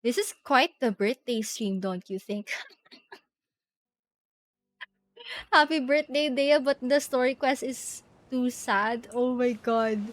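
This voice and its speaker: A woman streaming a game live, chatting casually and giggly as she thinks out loud and reads bits of text. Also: a little high, takes it slowly, pauses often, no room sound.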